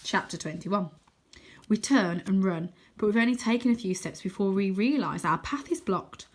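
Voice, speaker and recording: A woman reading a children's storybook aloud in an expressive, dramatic way, sometimes hushing her voice for suspense. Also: medium pitch. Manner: dramatic, deliberate, dropping to hushed tones for suspense.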